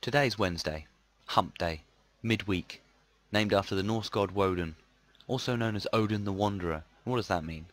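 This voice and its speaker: A man's voice: on the low side, normal speed, fairly bright tone, dry recording. A man, an amateur voice actor, delivering polished radio commercial reads.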